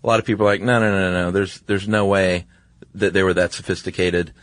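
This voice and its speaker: A man's voice: low voice, flat delivery, fast talker, a bit bright, dry recording. A man co-hosting a podcast in an informal, easygoing, conversational style. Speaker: podcast co-host. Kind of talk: casual conversational podcast chat.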